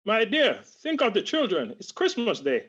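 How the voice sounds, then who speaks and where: A man playing a gentle, devoted and humble Victorian father, mild and earnest, in a radio play over a video call. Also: sits high, huge pitch swings, moderate pace, breathy edge, exaggerated voice. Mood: mild, warm-hearted. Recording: dull lo-fi video call audio.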